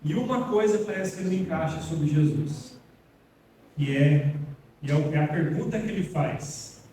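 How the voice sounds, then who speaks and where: A man's voice: fairly high pitch, normal speed, dark tone, slight background noise. A man preaching an earnest, plainspoken sermon to his congregation. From Brazil. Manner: earnest, plainspoken preaching, addresses listeners as brothers. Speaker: pastor. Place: church hall. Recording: echoey hall, voice from far off.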